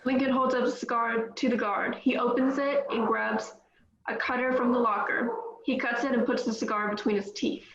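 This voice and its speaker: A woman reading the stage directions aloud at a table read, in a brisk, matter-of-fact way. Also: on the high side, steady tone, fairly bright tone, roomy sound, lo-fi sound, a little hiss or hum.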